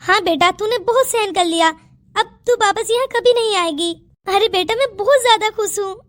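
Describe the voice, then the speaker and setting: A woman's voice: very high-pitched, moderate pace, a bit bright, no room sound. A woman voicing a concerned, coaxing middle-aged mother-in-law in a melodramatic Hindi cartoon story. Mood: apologetic, affectionate. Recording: music under the voice.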